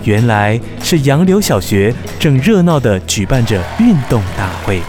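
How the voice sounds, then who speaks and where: A man narrating a scripted children's story in an expressive storyteller's way. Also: medium pitch, normal speed. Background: music.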